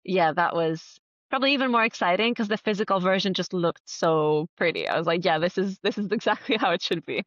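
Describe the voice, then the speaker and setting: A woman from Greece with a lowish voice, chatting as a podcast guest. She is earnest and enthusiastic, leaning on emphatic words in a casual, conversational flow. The sound is close and dry but slightly dull. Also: fairly quick, no room sound. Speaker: podcast guest, writer and entrepreneur. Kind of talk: casual conversational interview answers.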